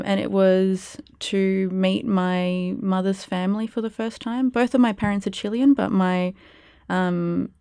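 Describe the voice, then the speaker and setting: A young Australian woman with a lowish voice that has a gravelly edge yet stays smooth. She talks reflectively, with frequent ums and likes, and sounds close and dry. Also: mellow, no echo. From Perth, Western Australia. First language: Spanish. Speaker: guest, arts curator and producer. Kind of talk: casual interview.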